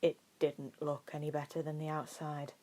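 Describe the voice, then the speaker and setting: A woman reading fiction aloud as an amateur audiobook narrator, in expressive scripted narration. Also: deep voice, normal speed.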